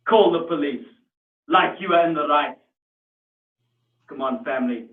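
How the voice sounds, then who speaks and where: A proud, outraged South African customer who speaks slowly and scornfully, as a man puts him on. The voice is raised high above the performer's usual pitch and gets loud at its peaks. It sounds tinny and echoey, recorded from a distance. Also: natural voice. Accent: South African (put on). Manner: loud, slow and scornful, with stern declarations. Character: indignant middle-aged man, proud and outraged. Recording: tinny, echoey room from afar.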